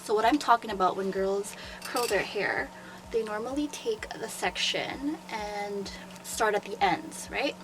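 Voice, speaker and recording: A young woman with a sore, hoarse throat, chattily explaining step by step how she curls her hair. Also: mid-range voice. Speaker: beauty vlogger and hairstylist. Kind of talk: casual hair tutorial. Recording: noticeable background noise.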